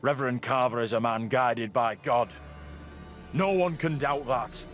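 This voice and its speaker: An actor playing a devout young colonial villager in a game, torn and fearful, speaking in formal, solemn, archaic phrasing. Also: male voice, medium pitch, measured pace, over music, lightly acted. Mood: defiant. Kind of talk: video game cutscene drama.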